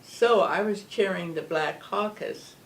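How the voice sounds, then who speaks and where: An older woman speaking as an interview guest, measured and emphatic, often asking rhetorical questions. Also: mid-range voice. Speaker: guest, former legislator. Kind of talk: earnest interview talk. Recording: distant mic with faint background hum.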